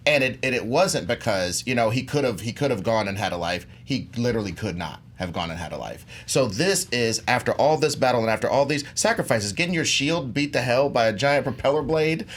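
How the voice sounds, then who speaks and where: A man's voice: medium pitch, animated, a little fast, hardly any pauses. A man making a casual, comedic case as a debate contestant, slangy and emphatic, repeating phrases for effect. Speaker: contestant in a film debate show.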